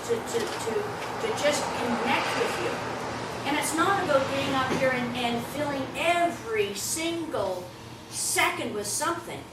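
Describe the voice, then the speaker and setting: A high, very lively woman's voice, laughing and teasing while she coaches someone in front of a group. She sounds far from the mic in a roomy meeting space, with steady noise behind her. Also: unhurried. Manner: animated, laughing, teasing, acts out nervous inner voices. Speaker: presentation coach. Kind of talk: live workshop coaching. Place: meeting room with audience.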